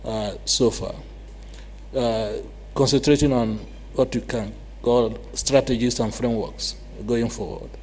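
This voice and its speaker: A man moderating a panel discussion, asking a long question with frequent pauses. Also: medium pitch, fairly even intonation, darkish tone, slight background noise. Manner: halting, pauses often mid-question.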